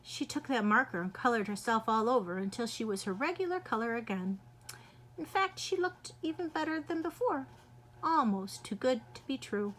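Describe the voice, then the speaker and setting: A woman reading a children's picture book aloud in an expressive, lively way. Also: fairly high pitch, normal speed, slight background noise, poor audio. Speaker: children's storyteller.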